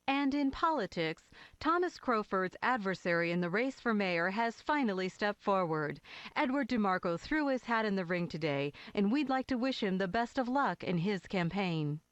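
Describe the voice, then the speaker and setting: A woman playing a brisk newsreader. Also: mid-range voice, lively intonation, no echo, lo-fi sound, close to an everyday voice. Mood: formal.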